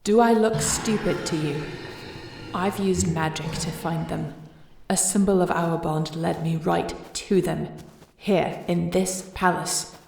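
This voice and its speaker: A woman playing a commanding dragon-shifter warrior queen in a fantasy audio roleplay, speaking formally, deliberately and imperiously. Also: lowish voice, fairly even intonation, creaky, a little mellow, a little hiss or hum, poor audio. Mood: cold, threatening.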